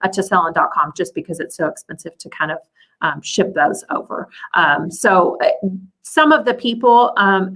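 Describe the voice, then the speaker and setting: A woman's voice: a little high, bright, forceful tone. A woman guest explaining her field in a conversational interview, carefully qualifying her points as she goes. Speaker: guest, seller and coach.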